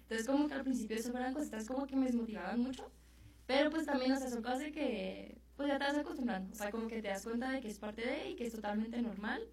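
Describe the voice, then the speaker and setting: Young woman, fast-talking, chatty with fillers, echoey room away from mic. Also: on the high side, a little mellow, distant mic. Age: young adult. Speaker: student guest. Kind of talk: radio interview.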